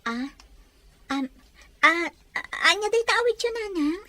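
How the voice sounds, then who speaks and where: A young woman with an Ilocano accent playing a gentle, long-suffering wife in a radio drama. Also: very high voice, expressive, a little slow, dry recording, full character voice. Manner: gentle, pleading.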